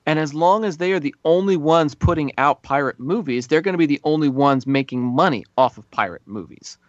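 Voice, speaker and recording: Higher-voiced man, slightly muffled podcast audio. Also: a little fast, no echo. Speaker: podcast co-host. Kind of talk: casual film discussion.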